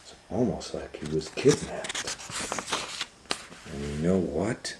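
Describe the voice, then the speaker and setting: A man's voice: rumbling low, lively intonation, takes it slowly, background noise. A man hosting storytime, telling a children's story informally with hesitant, conversational asides. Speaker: storytime host and reader.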